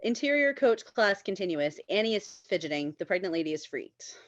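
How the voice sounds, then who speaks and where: A woman's voice: medium pitch, sing-song, brisk, dry recording, muffled recording. A woman reading stage directions as scripted narration at a table read.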